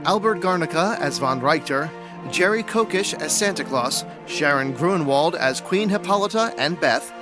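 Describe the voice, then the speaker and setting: A man's voice: on the high side, lively intonation, normal speed. A man reading scripted credits as an announcer. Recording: music behind the voice.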